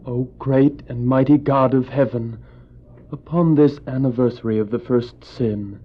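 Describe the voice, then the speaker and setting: An actor playing Abel, a pious, earnest younger brother and shepherd who speaks formally and preachily in a religious audio drama. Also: male voice, medium pitch, measured pace, natural voice. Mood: reverent. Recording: dull old cassette audio drama.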